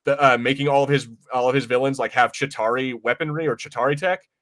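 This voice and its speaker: A man's voice: mid-range voice, fairly even intonation, fast, fairly bright tone. A man co-hosting a podcast, chatting casually about movies and often rephrasing himself mid-sentence. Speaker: podcast co-host. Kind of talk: casual movie review chat.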